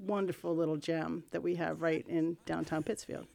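Female radio guest, casual and keen, slightly low-pitched voice, very dry studio recording. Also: level tone, normal speed, gravelly, warm tone, dry recording. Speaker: interview guest, amateur performer. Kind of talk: casual interview chat.

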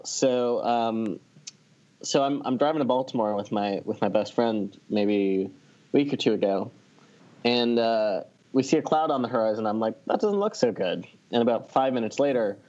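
A man's voice: mid-range voice, darkish tone. A man co-hosting a podcast, chatting casually and telling anecdotes in a wry, playfully dramatic way. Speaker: podcast co-host. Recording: slightly dull, lo-fi audio.

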